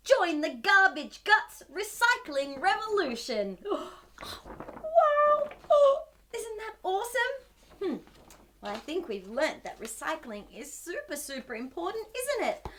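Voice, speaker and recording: Female children's storytime host: very lively pitch swings, unhurried delivery, exaggerated wonder for young listeners. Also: slight background noise. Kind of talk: animated picture-book read-aloud for young children.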